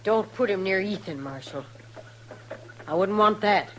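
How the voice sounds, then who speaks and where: A woman's voice: medium pitch, unhurried, a bit bright, close to an everyday voice. An American actress playing a bitter, cold-hearted middle-aged frontier mother and rustler in a 1950s radio western, with blunt rustic speech. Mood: cold, curt. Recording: noisy old radio recording.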